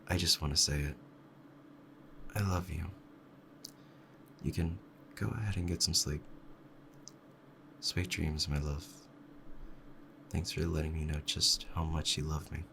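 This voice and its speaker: A man playing a devoted, clingy yandere fox-spirit husband in an intimate ASMR roleplay, speaking in a soft, tender bedtime murmur. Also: very deep voice, steady tone, measured pace, vocal fry, long pauses, slight background noise, exaggerated voice. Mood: tender, adoring.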